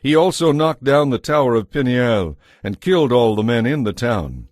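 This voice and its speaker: A man narrating a scripted audio Bible as a measured, solemn storyteller. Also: medium pitch, expressive, darkish tone, no room sound, studio quality.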